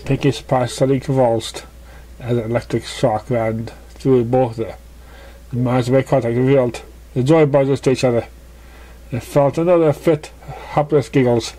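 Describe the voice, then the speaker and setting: A man reading scripted story narration, somewhat haltingly, stumbling over words now and then. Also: mid-range voice, steady tone, dark tone, a little hiss or hum, rough recording. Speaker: story reader.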